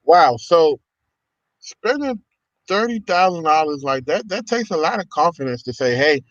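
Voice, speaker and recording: A man's voice: a little high, animated, normal speed, a bit bright, dry recording, muffled recording. A man hosting a casual livestream podcast, welcoming viewers with upbeat energy and lots of ums and uhs. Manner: upbeat, hype-style welcomes with many fillers. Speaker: podcast host.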